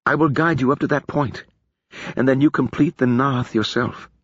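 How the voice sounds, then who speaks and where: A man voicing a grave, earnest female wizard who speaks slowly and deliberately. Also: mid-range voice, slightly rough, no echo, voice pushed up, close to an everyday voice. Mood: solemn. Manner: slow, solemn, deliberate. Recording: dull lo-fi recording.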